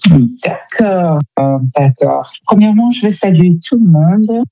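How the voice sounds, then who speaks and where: Casting: female singer as radio guest, soft-toned mellow voice, hesitant delivery, close dry studio sound. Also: fairly low pitch, lively intonation, slow pace, no room sound, muffled recording, soft background music. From Democratic Republic of the Congo. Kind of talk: conversational radio interview.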